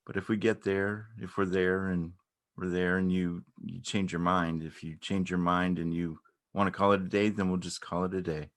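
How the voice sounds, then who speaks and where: Actor as awkward, kindly man for stage dialogue; deep voice, tentative, understated, circling back over his words. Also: flat delivery, normal speed, natural voice. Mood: nervous, hesitant. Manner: hesitant, repeats himself, understated. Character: gentle, awkward, anxiously supportive man. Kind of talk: dramatic reading of a stage play dialogue.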